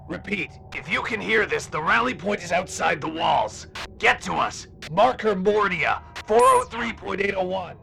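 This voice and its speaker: Military vox officer, high strained voice, very gravelly, urgent, radio effect, music behind. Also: male voice, lively intonation, moderate pace, few pauses, pushed and bright, full character voice. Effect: radio transmission. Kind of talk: radio military announcement in audio drama.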